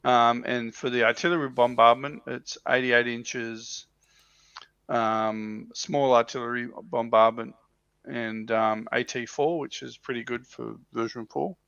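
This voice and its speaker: A man's voice: medium pitch, fairly even intonation, measured pace, gravelly, fairly bright tone, clear, resonant tone, no echo, lo-fi sound. A man chatting casually as a panelist on a livestream, talking in a hesitant way that often doubles back on itself. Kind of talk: casual chat. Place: livestream call.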